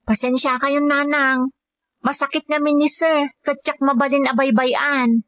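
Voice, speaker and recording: An actress plays a devoted daughter working overseas as a caregiver, with a fairly high voice and an Ilocano accent. She speaks earnestly and respectfully, in radio drama style. The recording is close and dry. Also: fairly even intonation, unhurried, fairly bright tone, no room sound. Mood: respectful, reassuring.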